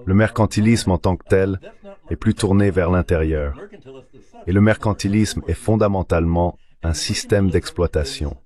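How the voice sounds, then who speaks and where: A man with a very low voice delivers a translated interview voice-over. He speaks in a formal, measured way, reading out economic analysis as an expert guest would. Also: a little mellow.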